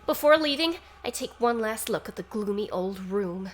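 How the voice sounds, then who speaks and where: A woman's voice: mid-range voice, lively intonation, moderate pace. A woman co-hosting a game stream, mixing casual, sweary banter with reading game text aloud. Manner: irreverent, sweary.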